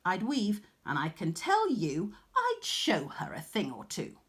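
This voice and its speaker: A woman voicing a proud, insolent young weaver in a storybook, loud, scornful and defiant. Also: medium pitch, wildly swooping pitch, a little slow.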